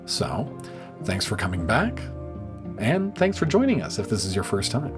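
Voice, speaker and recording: A man's voice: on the low side, big pitch swings, moderate pace, music in the background. A man speaking as a podcast host, making a friendly announcement straight to listeners. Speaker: podcast host, creative director.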